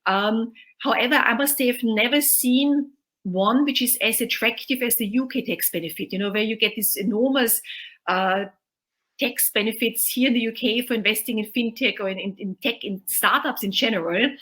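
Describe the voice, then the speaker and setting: A woman moderates a video-call panel. Her voice sits somewhat high, and she talks in a loose, chatty way, often saying "you know". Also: moderate pace, a bit bright. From Austria. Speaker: host and moderator.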